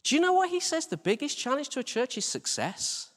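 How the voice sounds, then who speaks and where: A man's voice: very high voice, big pitch swings, fairly quick, fairly bright tone. A British man giving an informal, conversational sermon as a church leader, asking rhetorical questions and repeating phrases for emphasis. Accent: British English. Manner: conversational, self-deprecating, with rhetorical questions and repetition. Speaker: church team leader preaching. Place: large reverberant indoor church space. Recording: live church hall, long reverberation.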